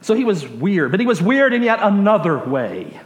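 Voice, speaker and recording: An American man from Texas preaching through personal stories in a reflective, halting way with dry humorous asides. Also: high voice, big pitch swings. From Texas, USA. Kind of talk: sermon storytelling. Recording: echoey room.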